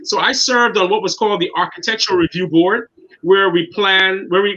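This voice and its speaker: Man in his 50s, interview guest, bright voice, muffled lo-fi sound. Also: high-pitched. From Guyana. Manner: earnest, repeats words while finding his thoughts. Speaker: guest, city councilman. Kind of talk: casual interview talk. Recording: dull lo-fi sound.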